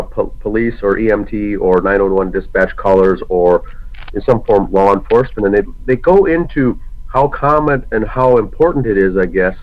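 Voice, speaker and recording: Slightly hoarse man hosting with halting delivery. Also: lowish voice. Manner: halting, many fillers and restarts. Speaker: podcast host. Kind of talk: casual discussion broadcast. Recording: lo-fi muffled audio.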